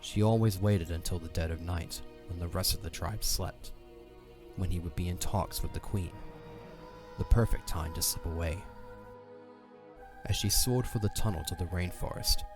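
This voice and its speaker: A man narrating an audio drama as a scripted story. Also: low-pitched. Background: faint music.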